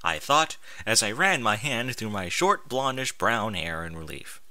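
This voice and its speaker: A man's voice: on the low side, animated, a little slow, bright, forceful tone, no room sound. A man reading a story aloud with exasperated, sarcastic, sweary commentary. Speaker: host reading and mocking amateur fan fiction aloud.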